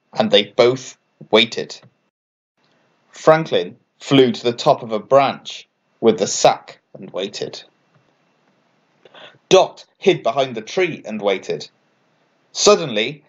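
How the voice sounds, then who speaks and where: Expressive male storyteller, lively intonation. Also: medium pitch, muffled recording. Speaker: author and story reader. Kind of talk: scripted children's bedtime story narration.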